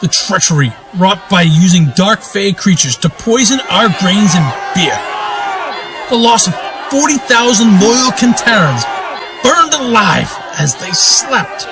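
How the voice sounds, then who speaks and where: A man's voice: sits high, sing-song, a little slow, music in the background, a crowd in the background, exaggerated voice. A man playing a proud, vengeful duke who claims a throne in a fantasy radio drama, declaiming in righteous, grandiose oratory. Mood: grave, indignant. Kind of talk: radio drama monologue in a fantasy audio play.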